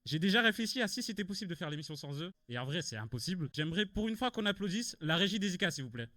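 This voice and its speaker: A young man with a slightly high voice hosts a live show at a very quick pace. He banters casually with cheeky, slangy teasing. The sound is dry and close. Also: a bit bright, dry recording. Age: young adult. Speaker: host of a student live show. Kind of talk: casual bantering presenting before a live audience.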